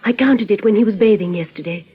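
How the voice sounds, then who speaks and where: An actress in an old radio drama playing a young, dutiful but quietly defiant European daughter, earnest and careful. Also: female voice, mid-range voice, fast talker, husky. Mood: confiding, worried. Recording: tinny, muddy vintage radio recording.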